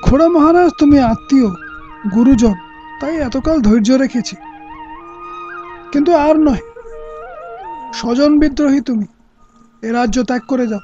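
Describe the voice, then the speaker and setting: A man's voice: very high-pitched, measured pace, pauses often, warm tone. A man playing a stern, aggrieved king in an audio play, speaking in a formal, declamatory way. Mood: stern anger. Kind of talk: Bengali radio play acting. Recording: dull lo-fi audio with music.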